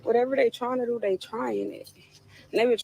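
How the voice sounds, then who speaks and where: A woman talks casually to the camera in a defiant, preachy way, bringing up faith and spirits. Her voice sits fairly high. There is a faint noise under her speech. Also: fairly even intonation, brisk, creaky, darkish tone, highs cut off. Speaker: woman in a played clip.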